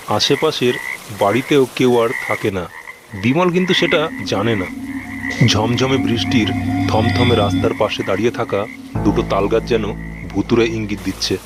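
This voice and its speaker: A man narrating a scripted horror cartoon story. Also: mid-range voice. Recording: noisy recording with background ambience.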